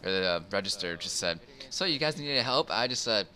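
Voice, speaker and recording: A man's voice: on the low side, expressive, fast talker, pushed and bright. A young man rambling casually through a personal story in an informal, crude, amused way. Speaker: storyteller in a gaming video. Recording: faint background noise.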